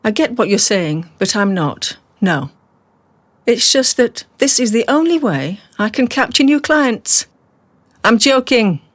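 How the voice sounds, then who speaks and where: Calm, wry captive woman played by an actress. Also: fairly high pitch, no room sound. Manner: measured, rational, dry. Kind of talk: naturalistic radio drama acting.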